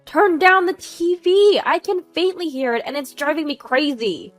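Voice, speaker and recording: A woman voicing an aggressive, nagging housewife neighbor in her forties, loud, demanding and scolding, in a narrated story. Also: high voice, animated, normal speed, soft background music, pitched above the performer's own voice. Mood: furious.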